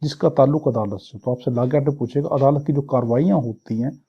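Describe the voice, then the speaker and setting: Husky Pakistani man giving a law lecture. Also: mid-range voice, quick pace, highs cut off. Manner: didactic, posing questions and answering them himself. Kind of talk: exam-prep lecture, reading English clauses and explaining them.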